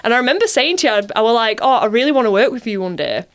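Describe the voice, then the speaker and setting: A woman's voice: fairly high pitch, expressive, rapid-fire, fairly bright tone, smooth. A woman, an actress being interviewed, chatting informally and voicing remembered remarks as she talks. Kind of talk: casual chat.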